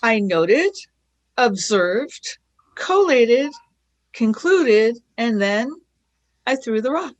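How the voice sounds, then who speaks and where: A woman's voice: a little high, sing-song, drawn-out words, long pauses, highs cut off, heavily acted. A woman playing a plucky young girl companion in a radio play, cheeky, pert and a little impatient. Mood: defiant, cheeky.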